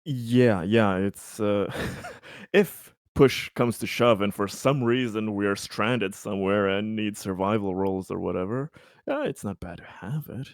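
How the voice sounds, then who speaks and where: Male tabletop player, low voice, dry close sound, casual, tends to double up words. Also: unhurried, dry recording. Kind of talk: casual chat.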